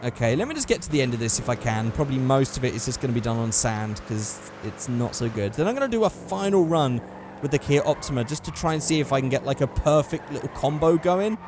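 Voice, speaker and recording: A man with a hoarse, worn-out voice giving casual commentary over a driving game, getting excitable at close calls. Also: mid-range voice, quick pace, background noise. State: hoarse, losing his voice. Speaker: gaming channel host.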